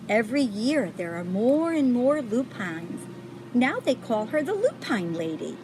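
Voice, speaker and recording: A woman reading a children's picture book aloud, pausing to point things out to young listeners. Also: medium pitch, noisy background. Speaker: storybook reader.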